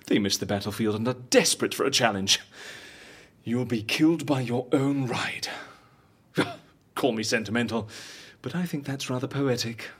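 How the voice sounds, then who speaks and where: An actor playing a suave, businesslike and wry agency fixer in an audio drama, articulate and persuasive with a theatrical way of talking. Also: male voice, mid-range voice, moderate pace, a bit bright, breathy edge. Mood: gleeful, then wistful, amused.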